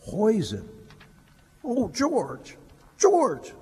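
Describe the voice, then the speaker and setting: An actor playing an angry, distraught older druggist in a stage radio play. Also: male voice, sits high, wildly swooping pitch, drawn-out words, a little mellow, slightly rough, full character voice. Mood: shocked, remorseful. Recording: hall reverb, faint music.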